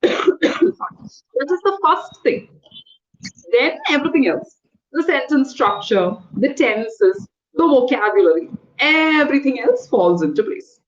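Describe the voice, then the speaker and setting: Woman, trainer, high voice, patient corrective teacher, muffled lo-fi sound. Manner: patient, corrective, spells words out letter by letter. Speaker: English trainer. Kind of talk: one-on-one English lesson.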